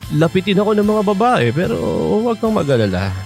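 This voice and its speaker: A man's voice: high voice, big pitch swings, fast talker, darkish tone, faint music behind. An actor playing a flirtatious, teasing man of sixty-five with a Filipino accent in a radio drama. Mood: teasing, boastful. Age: 60s. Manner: teasing, cajoling, exaggerated swooping delivery.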